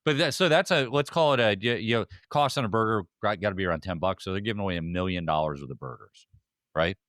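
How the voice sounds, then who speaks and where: A man with a low voice, recorded close and dry, chats as a podcast co-host. His delivery is casual and a little hesitant, and he often circles back to repeat a phrase. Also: quick pace, fairly bright tone, no room sound. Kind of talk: casual banter.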